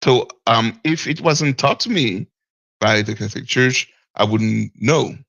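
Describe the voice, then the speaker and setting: Gravelly man, hesitant. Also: mid-range voice, measured pace, fairly bright tone, no room sound, highs cut off. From Martinique. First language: French. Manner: hesitant, searching for words, chuckles often. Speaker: caller. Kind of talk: call-in discussion.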